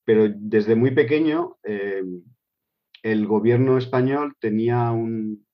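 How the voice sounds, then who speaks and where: A man's voice: mid-range voice, slow pace. A middle-aged man who grew up in Switzerland answers interview questions casually, hesitating with many fillers and restarts. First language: Spanish with German. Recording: dull lo-fi recording.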